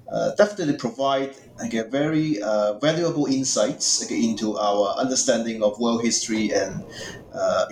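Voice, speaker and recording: A man's voice: medium pitch, echoey room. A man from Hong Kong, a political science professor, speaking formally and hesitantly with many fillers as a podcast guest. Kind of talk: podcast interview.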